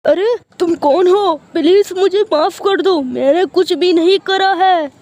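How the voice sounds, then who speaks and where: A woman's voice: extremely high pitch, unhurried, a little mellow, voice pushed up. A woman voicing a scheming, jealous female teacher who later pleads for her life. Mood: pleading. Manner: scheming, then pleading in fear.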